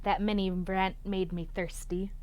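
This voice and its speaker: A woman's voice: medium pitch, level tone, unhurried, darkish tone, no echo. A young woman chatting casually about books as a video host, candid and opinionated in her reviews. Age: young adult. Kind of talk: casual book chat to camera.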